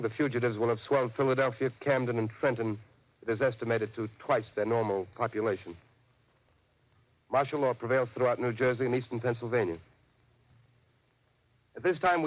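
An actor playing a serious, formal news announcer reading emergency bulletins in 1930s radio drama style. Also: male voice, mid-range voice, lightly acted. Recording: dull vintage 1938 radio recording.

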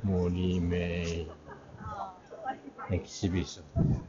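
Slow, halting low-voiced man in a crowd. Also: monotone. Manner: halting, fragmentary, muttering names to himself. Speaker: vlog host, art fair guide. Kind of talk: casual walking commentary, murmured booth-by-booth. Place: large busy indoor art fair hall. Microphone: handheld action camera at arm's length, masked. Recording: muffled, crowded exhibition hall noise. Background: crowd chatter.

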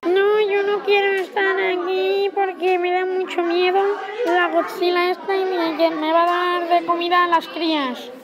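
A child of about ten playing a frightened cameraman in a homemade cartoon, excitable and repetitive, with exclamations. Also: extremely high pitch, a little slow, few pauses. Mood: panicked. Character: frightened on-the-scene cameraman fleeing giant monsters. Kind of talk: improvised children's cartoon voice-over. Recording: loud music over the voice.

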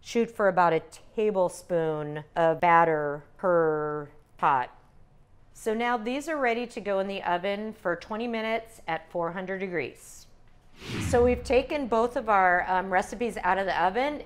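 An older woman calmly demonstrating recipes step by step as a cooking instructor in a teaching kitchen. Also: medium pitch, unhurried, clear, resonant tone. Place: home-style teaching kitchen.

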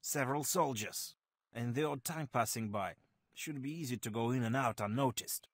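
Terse, dry military commander. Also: male voice, medium pitch, moderate pace, breathy edge. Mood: dry, businesslike. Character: hardened male guerrilla commander, terse, dryly sardonic. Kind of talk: scripted video game cutscene acting.

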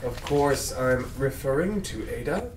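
A man's voice: medium pitch, lively intonation, unhurried, lightly acted. A man reading the part of a jaded, sarcastic police detective bluntly at a drama table read. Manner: blunt, sardonic. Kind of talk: script read-through of a sci-fi drama. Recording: roomy, distant, noisy group room.

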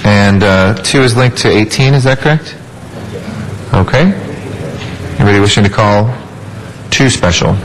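Man in his thirties, lowish voice, council chair, desk mic, faint room hum. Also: poor audio. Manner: brisk procedural, relaxed with fillers. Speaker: council president. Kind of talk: chairing a public meeting. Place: large ornate council chamber. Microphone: desk microphone.

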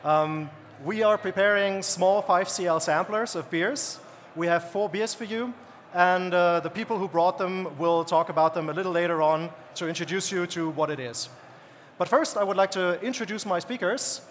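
A man's voice: high-pitched, moderate pace, slight background noise. A man moderating a panel on stage, coaxing speakers up with a friendly manner, speaking into a handheld mic. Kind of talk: event hosting. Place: stage in a large hall. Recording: hall reverb, crowd behind.

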